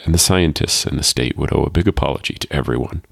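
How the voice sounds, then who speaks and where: A man reading a first-person short story as a world-weary, wryly fatalistic parent who works as a security guard, in a deadpan, measured way. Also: rumbling low, creaky, no room sound, full character voice. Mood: grimly wistful.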